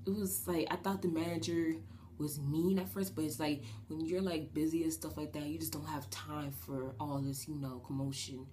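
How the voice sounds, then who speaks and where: Young woman, from New Jersey, low raspy voice, rambling casual vlog, noisy webcam audio. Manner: rambling and animated, with many fillers and quick gestures. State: shaky voice after a breathing treatment. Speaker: vlogger. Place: small bedroom, indoors. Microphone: webcam at desk distance.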